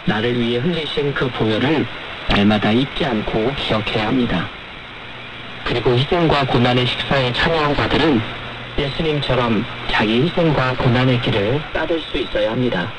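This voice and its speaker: A man preaching a radio sermon as a pastor, speaking formally and earnestly in an explanatory way. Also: medium pitch. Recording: noisy shortwave radio broadcast.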